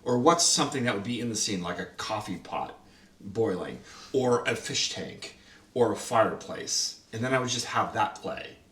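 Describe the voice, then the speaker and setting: A man's voice: fairly low pitch, animated, normal speed, fairly bright tone. A man who co-produced and designed the sound, chatting casually and rambling with lots of "like"s. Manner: rambling, full of "like"s. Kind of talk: casual behind-the-scenes chat. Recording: roomy echo, distant mic.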